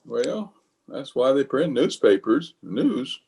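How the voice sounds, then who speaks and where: A man's voice: mid-range voice, very animated, slow pace, gravelly, warm tone, a little hiss or hum, heavily acted. A man playing a pompous middle-aged office boss in old-time radio comedy, stammering and hedging as he blusters. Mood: evasive, flustered. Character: flustered, evasive, pompous middle-aged male water department boss.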